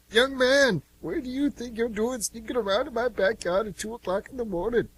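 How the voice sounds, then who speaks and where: A man voicing a grumpy, suspicious old male neighbour in a pitched-up voice, scolding a boy in a radio play. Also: normal speed, a bit bright, slightly rough, heavily acted, low-quality recording.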